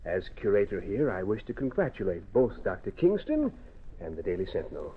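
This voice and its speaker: An actor playing a courtly, pompous museum curator with formal, ceremonious speech, in old-time radio drama style. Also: male voice, on the low side, wildly swooping pitch, a little fast, breathy edge, exaggerated voice. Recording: tinny, narrow old radio recording.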